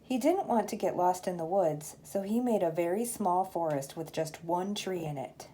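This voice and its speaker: An older woman, a grandmother, reading a children's storybook aloud in an expressive, measured storytelling manner. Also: fairly low pitch, a little hiss or hum.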